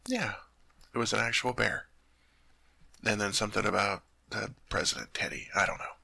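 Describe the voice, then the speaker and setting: A man acts out a sweet, naive teddy bear who has become a tall man, for an ASMR roleplay. His voice is very bright and crisp-edged with a gravelly creak, recorded close and dry. He talks in an innocent, earnest, chatty way, easily puzzled by human things. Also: lowish voice, animated, normal speed, no echo, exaggerated voice. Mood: playfully indignant. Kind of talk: ASMR roleplay addressed to the listener.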